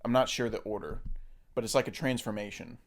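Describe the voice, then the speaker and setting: A man co-hosting a film podcast, chatting casually with dry, deadpan teasing. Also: fairly low pitch, a little fast, gravelly. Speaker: podcast co-host. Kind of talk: casual chat.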